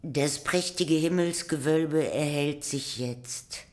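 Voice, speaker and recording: A woman's voice: deep voice, takes it slowly, faint music behind. A woman giving scripted literary narration in a radio play. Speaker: second narrator.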